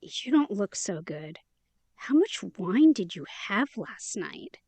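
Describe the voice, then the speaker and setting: A woman's voice: mid-range voice, very animated, slow pace, a little mellow, no room sound. A woman playing a chatty, upbeat young woman in an immersive audio drama, talking in a casual, playful, slangy way.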